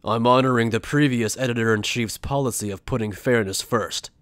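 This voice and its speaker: A man's voice: mid-range voice, a little fast, a bit bright, dry recording, close to an everyday voice. A man acting as a principled anime soldier-journalist speaks in a mid-range voice. He is earnest and insistent, pressing his points sincerely. The sound is dry and close. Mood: earnest, challenging. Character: earnest male Soul Reaper lieutenant and principled journalist. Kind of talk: anime fan dub acting.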